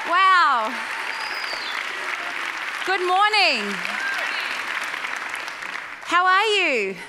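A woman's voice: sits high, long reverb tail, very noisy. An Australian woman in her forties, a guest pastor giving a chatty, playful sermon and prayer and asking the crowd questions. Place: large church auditorium.

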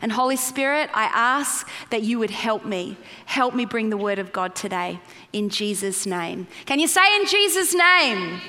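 A woman's voice: a little high, animated, a little slow, pushed and bright, big-room sound. An Australian woman in her forties, a guest pastor giving a chatty, playful sermon and prayer and asking the crowd questions. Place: large church auditorium.

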